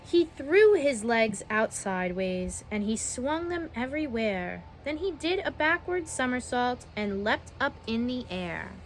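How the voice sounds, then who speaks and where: A young adult woman reading a children's picture book aloud, expressive and pausing to ask the listener questions. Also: on the high side, slight background noise, rough recording. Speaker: children's story reader.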